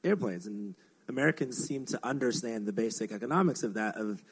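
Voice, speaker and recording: A man's voice: medium pitch, expressive, a little fast, breathy edge, poor audio. A man giving wry, conversational commentary in a radio discussion, explaining his points by analogy.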